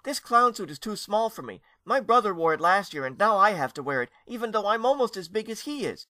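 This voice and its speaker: Man putting on chatty little boy, very high, brash, curious, dry sound. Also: big pitch swings, fairly quick, pushed and bright, dry recording, heavily acted. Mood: friendly, startled. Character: bold chatty little boy in clown suit.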